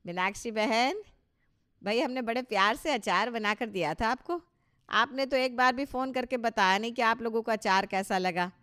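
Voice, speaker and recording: A woman's voice: fairly high pitch, moderate pace, a bit bright, clear, resonant tone, natural voice. A woman playing a proud, touchy older mother-in-law in a cartoon story, talking emphatically and with self-satisfaction. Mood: expectant. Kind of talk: Hindi cartoon story dialogue.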